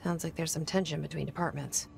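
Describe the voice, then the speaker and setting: A woman's voice: sits low, fairly even intonation, fast, vocal fry, noisy background. An actress playing a determined, guarded young woman in a video game, speaking tersely and directly. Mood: probing, resolute. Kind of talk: video game dialogue acting.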